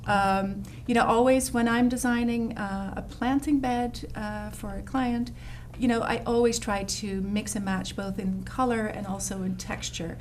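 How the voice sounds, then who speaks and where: A woman's voice: on the high side, fairly even intonation, a little slow, a little hiss or hum. A woman from the Netherlands, a landscape designer, talking in a casual interview with lots of fillers and restarts. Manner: warm, enthusiastic, many fillers and restarts.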